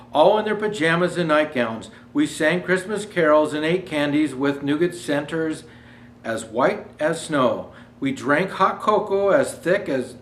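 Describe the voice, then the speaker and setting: A man's voice: fairly high pitch, fairly bright tone. A man playing Santa Claus as a jolly old storyteller, reading a children's storybook aloud with chatty asides. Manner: jovial ho-ho greetings, chatty asides. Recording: roomy echo, faint background noise.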